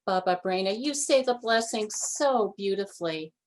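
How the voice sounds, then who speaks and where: A woman's voice: mid-range voice, animated, moderate pace, close to an everyday voice. A woman doing a chatty old grandmother. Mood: fussing. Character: kind, fussy old grandmother, hospitable.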